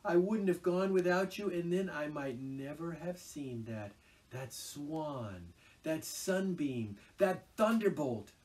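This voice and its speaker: A boastful, dream-struck toad gushes breathlessly about his new obsession, as a man puts him on. The voice leaps high and swoops wildly from word to word. It is a storybook reading, caught a little distant from the microphone. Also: animated, slow pace, darkish tone. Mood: enraptured.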